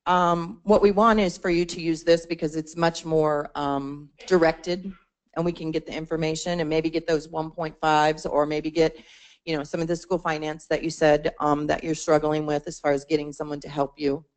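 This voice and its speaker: Woman with a lowish voice walking a meeting through a support portal, lots of um's. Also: flat delivery, moderate pace. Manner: conversational, many fillers and restarts. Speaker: state support presenter. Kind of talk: explaining at a membership meeting. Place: meeting room.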